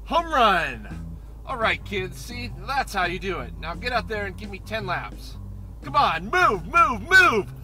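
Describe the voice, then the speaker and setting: An actor playing a grumpy, unimpressed driving instructor and coach in a comic game, deadpan and grudging. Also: male voice, high-pitched, measured pace, over loud music. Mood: barking. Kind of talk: comic game cutscene acting.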